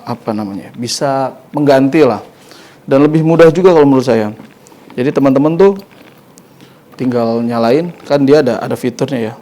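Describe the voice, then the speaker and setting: A man's voice: medium pitch, warm tone. A man hosting a casual hands-on motorcycle gear review, informally thinking aloud as he demonstrates. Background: music.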